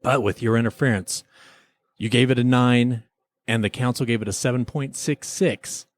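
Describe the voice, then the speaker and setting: A man's voice: medium pitch, fairly even intonation, moderate pace, no room sound, clean studio sound. A man hosting a podcast, delivering scripted lines in a mock-formal, announcing manner. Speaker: podcast host. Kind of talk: scripted comic courtroom-style podcast narration.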